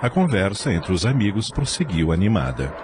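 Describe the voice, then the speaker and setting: A man's voice: low-pitched, very animated, moderate pace, noisy background. A man narrating an audio novel in a scripted storybook style.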